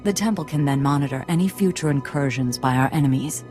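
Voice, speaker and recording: An actress playing a composed, grave Jedi master in a video game, measured and formal as she advises apprentices. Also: low voice, faint music behind, lightly acted.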